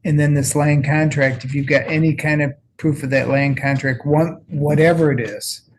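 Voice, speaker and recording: A man's voice: fairly high pitch, fairly even intonation, moderate pace. Plainspoken male judge, faint room hiss. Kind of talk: courtroom ruling.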